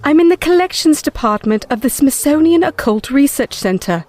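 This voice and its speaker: An actress playing a composed, earnest woman who retrieves occult books, with measured delivery in an audio drama. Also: sits high, expressive, darkish tone, faint music behind. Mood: composed, guarded.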